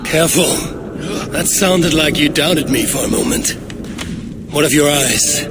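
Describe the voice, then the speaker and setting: A man playing a stern, gruff, commanding Norse fantasy character in a video game cutscene, with a curt, imperious manner. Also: fairly high pitch, a little slow, close to an everyday voice. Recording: clear background ambient noise.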